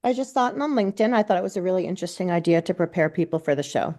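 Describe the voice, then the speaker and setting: Casting note: woman attendee, low mellow voice, brief answers. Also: expressive, rapid-fire. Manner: brief, matter-of-fact. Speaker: webinar attendee. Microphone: video call.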